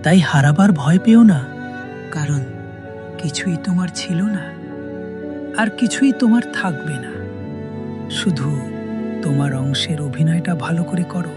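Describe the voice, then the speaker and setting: A woman voicing a wise, serene holy sage in a cartoon moral tale, teaching in a solemn, measured manner. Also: low voice, music in the background. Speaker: storyteller and teacher. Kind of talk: scripted cartoon moral storytelling.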